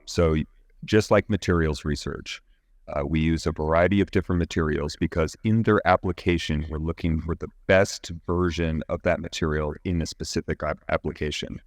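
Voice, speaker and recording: A man giving technical, explanatory interview answers as a company executive, measured and often halting mid-phrase. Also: a bass voice, steady tone, no echo, clean studio sound. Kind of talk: explanatory interview answers about product engineering.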